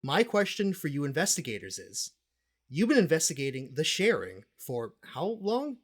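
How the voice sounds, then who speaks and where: An actor playing a self-important cult expert and investigator in an audio drama, speaking in a pompous, dramatic way with deliberate pauses. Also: medium pitch, wildly swooping pitch, slightly rough. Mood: probing, formal. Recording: music playing under the voice.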